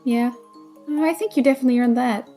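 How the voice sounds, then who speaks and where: A woman's voice: high-pitched, brisk, mellow, soft background music, close to an everyday voice. A woman playing a spirited, bossy but loyal young female adventurer who teases, in an audio drama. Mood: teasing.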